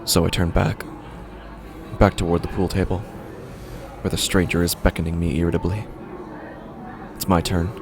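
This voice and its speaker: A man narrating a first-person horror story in a halting, uneasy way, often breaking off mid-sentence, over a music score. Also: sits low, little pitch movement, creaky. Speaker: podcast narrator.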